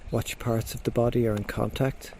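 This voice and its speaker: Male meditation guide, soft mellow tone, medium pitch, gentle and unhurried, pausing often, faint birdsong and nature sounds behind. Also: flat delivery, slight background noise. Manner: gentle, unhurried instruction with frequent pauses. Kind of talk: guided body scan meditation.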